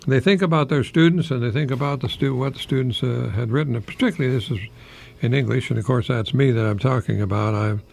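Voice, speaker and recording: An older American man with a very creaky, gravelly voice hosts a folksy radio talk. He rambles gently in a reflective way, stopping mid-phrase. His tone is husky and worn. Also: medium pitch, fast talker. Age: older, retired, 60s or above. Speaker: retired English professor hosting a radio program.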